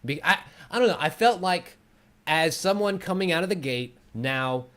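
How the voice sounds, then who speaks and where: A man's voice: fairly high pitch, lively intonation, moderate pace, fairly bright tone. A man hosting a casual conversational interview show. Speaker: host. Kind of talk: casual chat.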